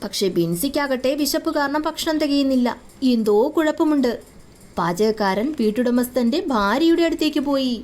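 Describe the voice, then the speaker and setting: A woman's voice: sits high, lively intonation, moderate pace, a little mellow. A woman giving scripted narration for a children's moral story.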